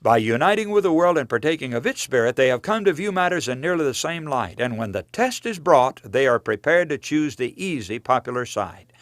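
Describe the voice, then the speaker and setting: Earnest male preacher, very animated intonation. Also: mid-range voice, no echo. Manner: earnest, emphatic, measured delivery. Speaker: preacher and author reading his own book. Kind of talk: scripted sermon-like book reading with quotations.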